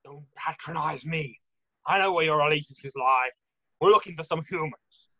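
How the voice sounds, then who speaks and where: A woman's voice: low-pitched, normal speed, bright, forceful tone, dull audio. A woman playing a menacing, impatient male wolf officer with a curt manner in an amateur readthrough. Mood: cold, threatening.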